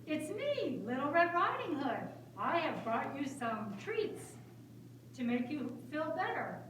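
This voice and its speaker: A woman's voice: high-pitched, big pitch swings, slow, fairly bright tone, exaggerated voice. A woman playing a sly, wheedling wolf in a children's puppet show. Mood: disguised, sweet. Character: sly, wheedling male wolf from a fairy tale. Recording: roomy echo, distant mic, faint noise.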